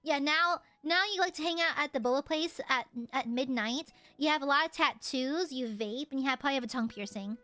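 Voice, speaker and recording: A woman streamer chatting casually with her viewers, loud and crude, teasing them and swearing a lot, then suddenly dropping into mock-serious mutters. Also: sits high, brisk, hardly any pauses, dry recording. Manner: animated, jumps between shouting and mock-serious mutters. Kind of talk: casual live-stream chat.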